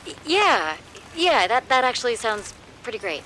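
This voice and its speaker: Flustered, kindly woman, halting naturalistic drama delivery, bright animated voice, faint traffic-like noise. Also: a little high, poor audio. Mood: awkward, warm. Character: Abigail, awkward, hesitant, kind young woman. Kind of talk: naturalistic audio drama acting.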